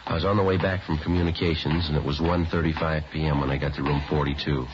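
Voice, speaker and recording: An actor playing a laconic, businesslike police detective in a 1950s radio crime drama, clipped and matter-of-fact. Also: male voice, rumbling low, steady tone, quick pace. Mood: deadpan, factual. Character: laconic, businesslike police detective sergeant narrating his case. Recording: noisy, muffled vintage radio recording.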